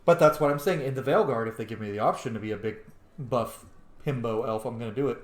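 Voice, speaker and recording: A man's voice: medium pitch, fast talker, echoey room, crowd noise. A man hosting a gaming channel, giving casual, chatty and opinionated let's-play commentary. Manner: opinionated, chatty fan enthusiasm.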